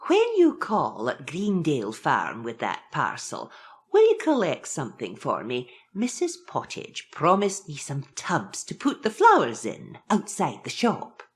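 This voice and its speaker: An actress playing an excitable, kindly village postmistress, chatty and coaxing, in a children's audiobook. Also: female voice, sits low, measured pace, heavily acted.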